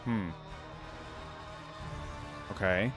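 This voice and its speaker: A man's voice: sits low, steady tone, drawn-out words, over music. A man hosting a reaction video, commenting casually on game voice lines and musing aloud with frequent questions.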